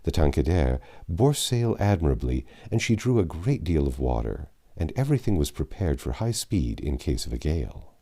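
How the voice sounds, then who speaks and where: A man narrating a classic adventure novel aloud, with measured, dramatic phrasing and some drawn-out words. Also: a bass voice, very animated, vocal fry, dark tone. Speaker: audiobook narrator.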